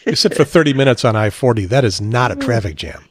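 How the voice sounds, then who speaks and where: A man's voice: medium pitch, lively intonation, quick pace, crowd noise. A middle-aged man with a Chicago accent, hosting a talk show in a casual chat with dry humor.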